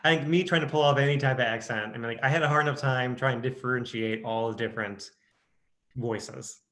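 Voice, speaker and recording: A man's voice: medium pitch, fairly quick, a bit bright. Hesitant man with a Midwestern accent. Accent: Midwestern American. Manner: hesitant, many restarts and fillers. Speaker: audiobook narrator. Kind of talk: casual interview chat.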